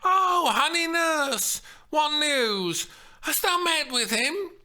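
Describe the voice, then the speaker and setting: A man's voice: lively intonation, slow, bright, forceful tone, full character voice. A man doing a frantic young woman reading Juliet. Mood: pleading. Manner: breathless, pleading, high.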